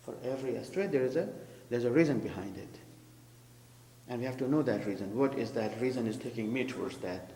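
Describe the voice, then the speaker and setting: A man's voice: mid-range voice, brisk, mellow. Male preacher, explanatory and questioning, in an echoey reverberant hall. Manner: explains terms, asks the congregation. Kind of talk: sermon commentary. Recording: echoey hall with faint hum.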